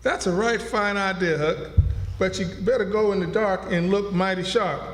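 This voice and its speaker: A man's voice: high-pitched, moderate pace, lightly acted. A man playing a kindly, curious runaway with a folksy American Southern accent in a live radio drama. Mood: amused, advising. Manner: folksy dialect. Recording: echoey hall, music behind.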